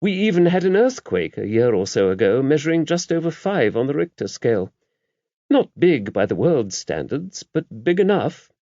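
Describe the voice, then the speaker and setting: A man voicing an older abbess in an audiobook, a shrewd, gently wry woman who talks in a brisk, genteel, confiding way. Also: mid-range voice, big pitch swings, no echo, pitched above the performer's own voice. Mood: chatty, shrewd. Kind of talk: audiobook character voice. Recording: dull, muffled lo-fi audio.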